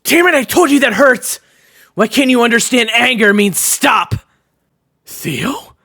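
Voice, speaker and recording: Brief: man voicing a bitter young brother, high and lively, bright-toned, sarcastic and swearing. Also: a little slow, gravelly, dry recording, full character voice. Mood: angry. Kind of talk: audio roleplay acting.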